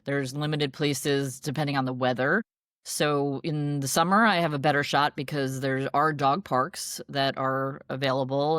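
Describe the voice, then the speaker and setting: A woman guest in a podcast interview, upbeat and expressive, with frequent restarts and laughs. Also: low-pitched, dry recording, clean studio sound. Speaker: guest, dog meetup organizer. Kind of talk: casual interview chat.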